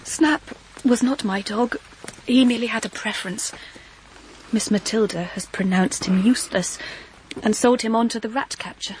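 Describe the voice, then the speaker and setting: An actress playing a reserved, earnest young governess with a prim, guarded manner in a period audio drama. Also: female voice, a little high, normal speed, natural voice, low-quality recording. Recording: clear rain noise behind the voice.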